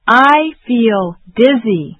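Slow, careful woman repeating phrases. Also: a little high, lively intonation, fairly bright tone, husky, no echo. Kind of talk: slow repetition drill. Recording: dull dry lo-fi audio.

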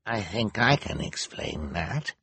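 A man voicing an angry old volunteer with poor eyesight, speaking slowly and accusingly in a storybook reading. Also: on the low side, very animated, vocal fry, fairly bright tone, slightly rough, exaggerated voice. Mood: weary accusation.

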